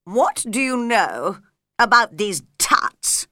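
A woman doing a loud, stern king. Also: mid-range voice, big pitch swings, takes it slowly, no echo, clean studio sound, heavily acted. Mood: impatient. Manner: loud and commanding. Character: stern, impatient old king presiding over a trial. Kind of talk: storybook character voice.

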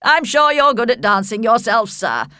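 A woman voicing a cheerful, courtly older gentleman host in a storybook, effusive and coaxing. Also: fairly high pitch, normal speed, clear, resonant tone, no room sound, studio quality, voice pushed up.

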